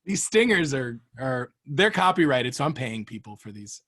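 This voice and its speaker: A man's voice: medium pitch, big pitch swings, moderate pace, pushed and bright, husky. An American man hosting a podcast in casual, comedic interview chat, with a joking, playful manner. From Florida, United States. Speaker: podcast host.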